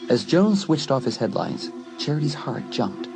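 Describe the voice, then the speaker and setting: A man's voice: medium pitch, big pitch swings, normal speed, gravelly. A man narrating a dramatised horror story as an audiobook narrator. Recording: loud music behind. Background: loud music.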